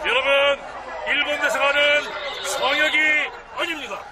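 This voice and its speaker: A man's voice: very high voice, steady tone, normal speed. A man giving an emphatic protest speech, declaiming in short, broken phrases. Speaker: protest speaker. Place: outdoor street rally in a city. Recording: outdoor street recording with loud crowd noise. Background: loud crowd and urban noise.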